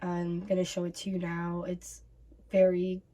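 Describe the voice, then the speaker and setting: Raspy-voiced young woman vlogging casually. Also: fairly low pitch, monotone, a little slow, warm tone. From North America. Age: 20s. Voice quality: casual, rambling with fillers. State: raspy from bronchitis. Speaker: YouTube host. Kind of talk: casual reaction vlog.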